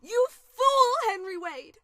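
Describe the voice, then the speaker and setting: An actress playing a dead wife's devoted, protective ghost in a radio play, emotive and pleading. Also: female voice, very high voice, lively intonation, slow, pushed and bright, dry recording, heavily acted. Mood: anguished fury.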